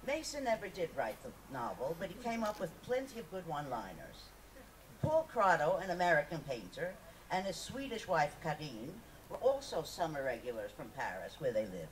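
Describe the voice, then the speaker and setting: A woman reads a memoir aloud to an audience with animated, rising and falling pitch. Her voice is on the low side. It is caught by a distant microphone with faint noise around it. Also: normal speed. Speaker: reader at a poetry festival. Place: live festival reading, picked up from a distance. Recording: distant mic, noisy live event recording.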